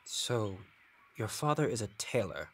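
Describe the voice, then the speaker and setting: An actor playing a sarcastic, gruff young male sailor in a period audio drama, teasing and begrudging. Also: on the low side, very animated, measured pace, fairly bright tone, slight background noise. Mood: skeptical, grudging.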